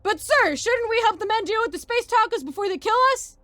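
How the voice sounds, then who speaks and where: A woman voicing an eager, obedient, excitable private with snappy military replies in a comic space story. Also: sits high, normal speed, no echo, close to an everyday voice. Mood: anxious.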